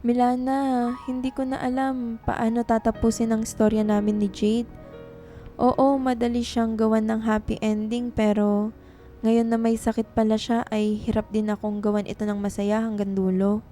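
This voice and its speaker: A woman playing a tender, lovelorn young writer in a radio drama, with a soft, pleading manner. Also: fairly high pitch, level tone, normal speed, soft background music, close to an everyday voice. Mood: sad, resigned.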